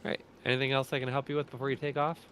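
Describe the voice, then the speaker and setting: A man's voice: medium pitch, level tone, racing, a bit bright. A man chatting casually during a game with friends, easygoing and dryly joking, on a voice chat with some noise. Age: adult, parent of a young child. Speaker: gamer, a father playing with friends. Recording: faintly noisy gaming voice chat.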